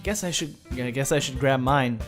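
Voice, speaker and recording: A man's voice: medium pitch, moderate pace. Guy doing a chill let's play, reading out the game's text, plucky music in the background. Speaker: gaming video host.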